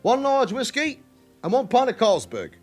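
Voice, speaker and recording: High-voiced, slyly genial club owner. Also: male voice, big pitch swings, normal speed, faint music behind, exaggerated voice. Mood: teasing. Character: chatty, slyly genial male London club owner with criminal ties. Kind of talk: naturalistic radio drama acting.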